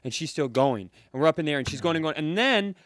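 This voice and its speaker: A man's voice: a little high, lively intonation, fast, a bit bright, no echo, studio quality. A man co-hosting a live comedy podcast, telling a rambling anecdote full of asides. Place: comedy club stage.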